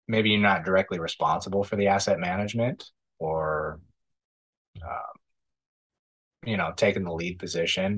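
A man in his thirties with a very low, husky voice. He answers in a measured, thoughtful way, pausing on ums. He is on a video call from a room at home. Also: a bit bright. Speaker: podcast guest, real estate investor. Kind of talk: casual interview answers.

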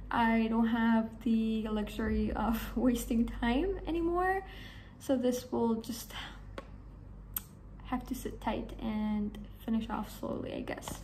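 Hoarse young woman, gravelly chatty vlog. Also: a little high, dark tone, a little hiss or hum. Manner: chatty, enthusiastic, rambling with fillers. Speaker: beauty vlogger. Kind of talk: casual talk to camera. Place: small room indoors.

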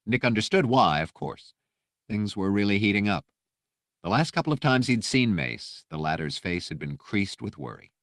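Man, low-pitched, dry close studio sound, measured delivery, dramatic audiobook narration. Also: very animated, fairly bright tone, no echo.

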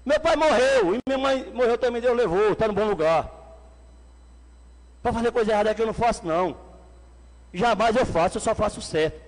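A man in his forties with a Pernambuco accent giving an angry, shouting speech as a city councilman at a desk microphone. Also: high-pitched, fairly quick, long pauses. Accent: Pernambuco Brazilian Portuguese. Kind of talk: heated council floor speech. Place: council chamber indoors. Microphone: desk microphone on stand. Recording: long reverb of a council hall.

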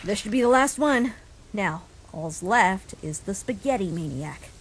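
A woman's voice: mid-range voice, animated, a little slow, breathy edge. A woman voicing a defiant, ruthless young human fighter in a comic dub, scornful and menacing. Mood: cold, taunting. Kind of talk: fan comic dub acting. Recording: loud music behind the voice.

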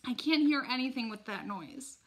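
A woman voicing an upset ten-year-old boy who shouts and pleads with his little brother, in a storybook read aloud. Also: high voice, animated, measured pace, a little hiss or hum, voice pushed up, rough recording. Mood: frantic.

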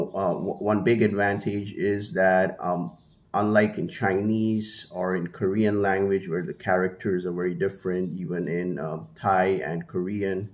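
A man in his thirties from India, whose first language is Hindi, talking casually to camera in a small room, rambling with many fillers and restarts. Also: low voice. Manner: gestures with one hand, holding a small mic to his mouth. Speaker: vlogger. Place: small room at home, indoors. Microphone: handheld mini mic held at the mouth.

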